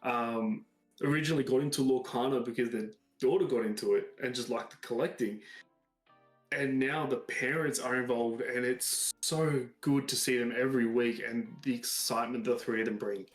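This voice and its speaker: A man's voice: mid-range voice, fairly even intonation, moderate pace. A man in his thirties with an Australian accent, co-hosting a casual card-game chat with an enthusiastic, agreeable manner. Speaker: podcast co-host. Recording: roomy sound with faint music.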